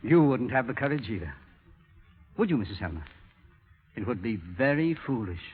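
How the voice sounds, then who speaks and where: A scornful blackmailer from 1930s radio drama, on a dull, lo-fi recording. His husky voice swings widely in pitch as he taunts and threatens with theatrical deliberation. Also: very animated, moderate pace, soft background music. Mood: quietly menacing. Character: bitter, menacing male blackmailer, coldly calculating and scornful.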